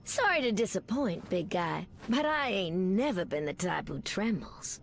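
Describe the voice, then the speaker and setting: An actress playing a sassy, flirty superheroine with a Southern American accent and folksy pet names, in a video game. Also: female voice, medium pitch, very animated, a little slow, creaky, soft background music. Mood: sassy, confident.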